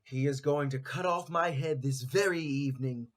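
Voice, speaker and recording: A man's voice: mid-range voice, moderate pace, natural voice. A man voicing a mournful, indignant old rooster in a storybook tale, with a dramatic wailing delivery. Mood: despairing. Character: despairing old male rooster, loud and indignant.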